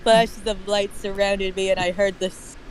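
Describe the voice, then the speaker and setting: A man's voice: high voice, little pitch movement, moderate pace, low-quality recording. A man reading a story aloud on a stream, breaking off for mocking asides. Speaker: stream host reading creepypasta. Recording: faint background noise.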